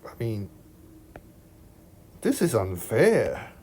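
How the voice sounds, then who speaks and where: A man from Hong Kong with a Hong Kong accent talks through a worked maths problem in a slow, deliberate way. His voice sits on the low side, and he thinks aloud, often asking "right?" to check the listener is following. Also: huge pitch swings, darkish tone, slight background noise. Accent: Hong Kong Cantonese. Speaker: maths tutor. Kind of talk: explaining a worked exam solution over screen recording. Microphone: voice-over on screen recording.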